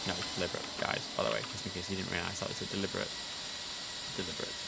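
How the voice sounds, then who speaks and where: A man's voice: low-pitched, flat delivery, rapid-fire, gravelly, heavy background noise. A man hosting a casual live game stream, chatting and musing aloud as he commentates. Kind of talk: casual live gameplay commentary on an air traffic control simulator.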